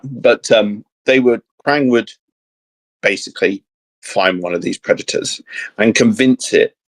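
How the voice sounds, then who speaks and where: A man co-hosting a geek show, chatting casually and piecing his ideas together haltingly as he goes. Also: fairly low pitch, slightly rough, poor audio. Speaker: co-host of a geek discussion show. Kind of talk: casual chat, pitching ideas.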